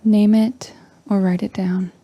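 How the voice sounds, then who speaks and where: A woman leading a guided meditation in a soft, breathy, near-whispering voice, calm and gentle with long pauses. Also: medium pitch, dry recording. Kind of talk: slow guided meditation narration.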